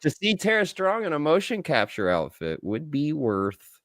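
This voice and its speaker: A man's voice: a little high, wildly swooping pitch, moderate pace, a bit bright, no room sound, clean studio sound. A man co-hosting a casual film review podcast, emphatic and swearing freely as he makes his points. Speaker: podcast co-host.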